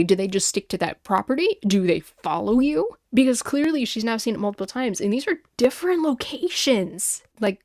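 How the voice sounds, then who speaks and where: A woman hosting a podcast, reading listener stories and reacting in an animated, informal, exclamatory way. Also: mid-range voice, dry recording, clean studio sound. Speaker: podcast host.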